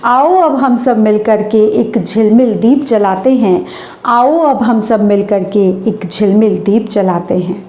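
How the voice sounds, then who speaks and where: A hoarse woman hosts her channel and recites a stage anchoring script in a formal, declamatory way, slowing down for poetic couplets. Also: medium pitch, warm tone, reverberant.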